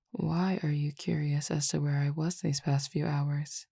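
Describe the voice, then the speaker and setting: A woman voicing a low, gravelly, teasing cupid. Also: level tone, no echo, clean studio sound, heavily acted. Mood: languid teasing. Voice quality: formal, measured and quietly teasing. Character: calm, teasing cupid roommate who feeds on desire. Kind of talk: audio roleplay addressed to the listener.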